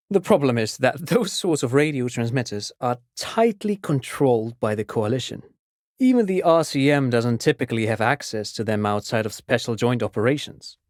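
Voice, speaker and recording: An actor playing a chatty, courteous amateur academic in a video game, explaining things precisely like an eager enthusiast. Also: male voice, medium pitch, darkish tone, dry recording, clean studio sound, natural voice. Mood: eager, helpful. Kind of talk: game character dialogue.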